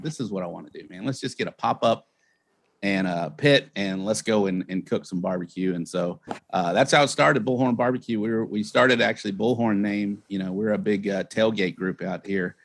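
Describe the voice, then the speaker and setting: A man from Texas speaking as an interview guest, telling stories casually in a halting, folksy way. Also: fairly low pitch, vocal fry. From Texas, United States. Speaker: guest, barbecue team owner and show host. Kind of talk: casual interview storytelling over a live remote call.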